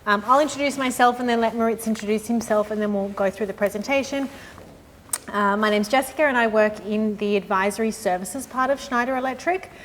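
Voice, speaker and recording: A woman gives a live industry presentation to a roomful of people. She speaks in a friendly, measured way with frequent "um" and "uh". Her voice rings with long hall reverb over a faint hiss. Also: fairly high pitch. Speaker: sustainability consultant presenting. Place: conference room with an audience.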